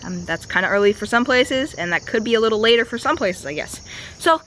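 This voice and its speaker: A young woman vlogger, a bit raspy and slightly sick with a stuffy nose, chatting casually with lots of fillers. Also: on the high side, expressive, brisk, a bit bright, slight background noise. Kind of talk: casual vlog voiceover and to-camera talk. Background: music.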